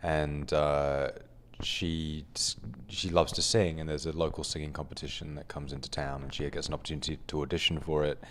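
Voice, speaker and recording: A man, the film's director, summing up the story in a hesitant way with many pauses. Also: rumbling low, little pitch movement, a bit bright, a little hiss or hum. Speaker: film director. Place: film festival studio.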